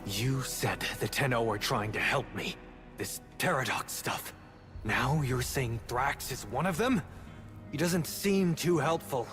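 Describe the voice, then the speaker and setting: A man's voice: mid-range voice, unhurried, natural voice. An actor playing a wary, reflective male wanderer in a video game, with a brooding, guarded manner. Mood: distrustful. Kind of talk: cinematic game dialogue. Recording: loud music behind the voice.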